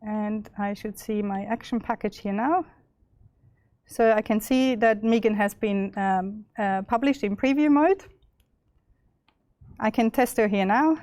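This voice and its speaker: A young woman with an Austrian accent presenting a live software demo, chatty and full of fillers and restarts. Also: mid-range voice, measured pace, pauses often, no room sound, studio quality. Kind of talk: live tech demo walkthrough.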